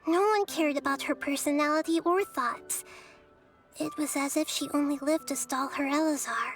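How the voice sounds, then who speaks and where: High-voiced, gentle, wise child goddess. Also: female voice, normal speed, soft background music. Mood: wistful. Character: gentle wise childlike young goddess, thoughtful and self-doubting. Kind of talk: English video game dub acting.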